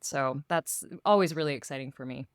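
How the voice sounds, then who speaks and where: A woman's voice: extremely low pitch, a little fast, smooth, no echo, clean studio sound. A woman voice actor talking casually in an interview, telling stories with quoted asides.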